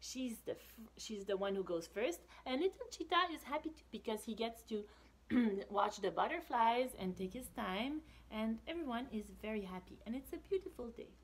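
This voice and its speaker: Expressive high-voiced woman reading to children. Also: moderate pace, slightly rough, low-quality recording. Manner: expressive, with whispered asides and questions to listeners. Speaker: author reading her picture book to children. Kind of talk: storytime read-aloud with casual asides. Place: small indoor room with brick wall.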